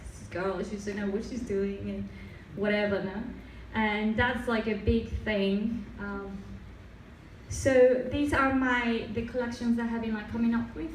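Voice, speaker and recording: A young woman from Mexico, a native Spanish speaker with a Mexican accent softened by Australian years, giving a casual design talk full of fillers and restarts. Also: medium pitch. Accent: Mexican, with some Australian. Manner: many fillers and restarts, loose and chatty. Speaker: design presenter. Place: event room. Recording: roomy, distant, faint noise.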